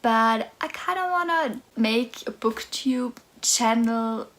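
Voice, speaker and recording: A woman's voice: fairly high pitch, expressive, takes it slowly. A woman in her twenties from Austria, with a German accent, talking nervously and hesitantly in a casual book vlog. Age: 20s. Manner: nervous, hesitant, soft-spoken. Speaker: book vlogger.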